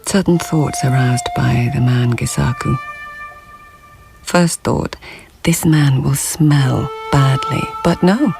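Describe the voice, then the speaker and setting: A hushed, watchful court lady. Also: rumbling low, creaky, over music, heavily acted. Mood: reflective. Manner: slow, hushed, reflective narration. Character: observant court lady narrating her diary thoughts. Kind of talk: BBC radio drama inner monologue.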